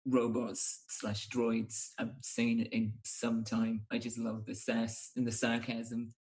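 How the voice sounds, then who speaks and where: Male host, medium pitch, conversational and reflective, puts questions to others informally. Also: monotone, takes it slowly, darkish tone. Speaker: podcast host. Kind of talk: casual discussion.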